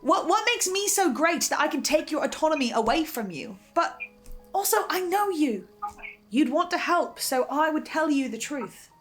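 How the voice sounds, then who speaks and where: A woman's voice: high-pitched, animated, normal speed, soft background music. A woman playing a nervous, earnest, apologetic researcher in a naturalistic audio drama, stammering and repeating words. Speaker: host of a listen-along show.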